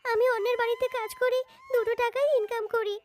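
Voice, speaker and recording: A woman voicing a gentle, loving animal mother in a cartoon, soothing and affectionate. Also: extremely high pitch, level tone, fast talker. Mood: sad, consoling. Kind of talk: cartoon voice acting. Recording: music behind the voice.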